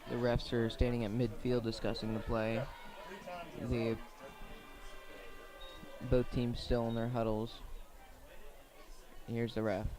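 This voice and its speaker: A man doing live radio play-by-play of a high school football game in short, plain, measured phrases. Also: monotone, music in the background. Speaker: play-by-play sports commentator.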